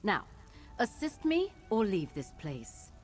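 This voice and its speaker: A woman's voice: mid-range voice, wildly swooping pitch, takes it slowly. A woman voicing a haughty, evasive wizard master in a fantasy video game, imperious and dismissive. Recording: music behind.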